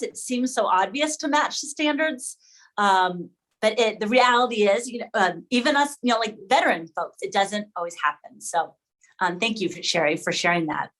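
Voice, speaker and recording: A woman's voice: medium pitch, a bit bright. A woman hosting an online session for educators, presenting in a conversational way and checking in with listeners. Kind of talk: presenting and moderating a video meeting discussion.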